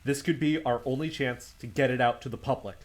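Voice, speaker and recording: A man's voice: mid-range voice, steady tone, fairly quick, a little hiss or hum, lightly acted. A man playing a shaken but stubborn podcaster in an audio drama. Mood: dazed, determined. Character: shaken, stubborn male podcaster determined to expose the truth.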